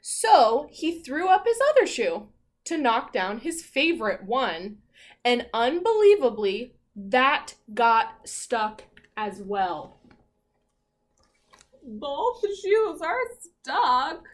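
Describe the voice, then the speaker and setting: A woman with a fairly high voice speaks as an elementary school teacher guiding a read-aloud. She puts animated emphasis into her delivery and often poses questions to young listeners, leaving room for answers. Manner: asks questions and pauses as if hearing answers. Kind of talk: interactive read-aloud lesson for young children.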